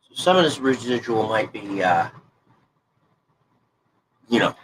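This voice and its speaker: A man's voice: medium pitch, fairly bright tone, rough recording. Brief: stuffed-up man in his 40s, folksy rambler, plain mid voice, faint noise behind. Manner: folksy, rambling, self-correcting. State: congested, stuffed-up nose. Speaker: hobby crafting vlogger. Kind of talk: casual rambling chat while crafting.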